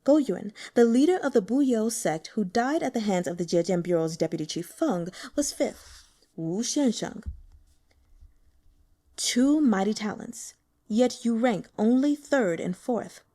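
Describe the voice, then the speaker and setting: A woman voicing a calm, shrewd, frail young male strategist who speaks in a measured, guarded way. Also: fairly high pitch.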